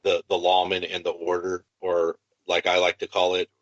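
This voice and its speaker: Male podcast co-host, low voice, enthusiastic gaming explainer, dry muffled recording. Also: completely flat delivery, moderate pace, no room sound. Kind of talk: casual tabletop gaming chat.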